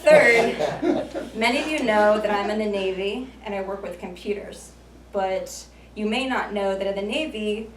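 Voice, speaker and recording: A woman's voice: mid-range voice, measured pace, noisy background. A woman in her late twenties giving a prepared, self-deprecating speech with light jokes at a speaking club. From United States. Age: 20s. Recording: echoey room from far off.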